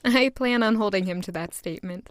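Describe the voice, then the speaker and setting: A woman's voice: mid-range voice, fairly quick, no room sound, lightly acted. A woman playing a shy, fond young woman in a fantasy audio drama. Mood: tender, hopeful.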